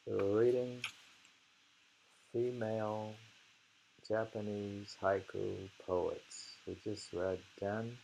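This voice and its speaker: A man reading poems aloud and chatting about them casually, in a musing, deliberate manner. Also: fairly low pitch, flat delivery, gravelly, mellow, slight background noise, rough recording. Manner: slow, musing, often repeats lines deliberately. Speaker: host reading a poetry book aloud.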